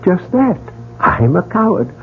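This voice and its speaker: A jittery gentleman who admits he is a coward, his voice swooping wildly up and down at a slow, halting pace. It sounds narrow and phone-like, with faint mains hum. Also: sits high, very animated, full character voice. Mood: nervous. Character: nervous, self-confessed cowardly gentleman gambler. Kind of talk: 1940s radio drama acting. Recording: phone-like old radio broadcast.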